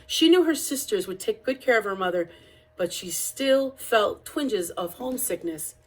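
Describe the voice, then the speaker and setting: A woman's voice: medium pitch, lively intonation, moderate pace, low-quality recording. A woman reading a children's picture-book biography aloud as storytelling narration, with an occasional small stumble.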